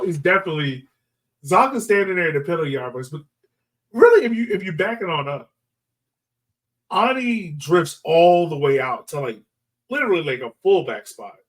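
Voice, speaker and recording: A man's voice: sits high, far from the mic. A man co-hosting a podcast, talking through soccer analysis in an informal, emphatic way full of filler words. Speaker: podcast co-host, soccer fan. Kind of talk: casual sports analysis chat.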